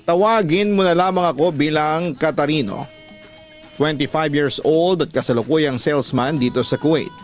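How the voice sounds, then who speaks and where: A man's voice: fairly high pitch, moderate pace. Filipino man narrating drama over music. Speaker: radio drama host and narrator. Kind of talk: scripted dramatic narration of letter-sender stories.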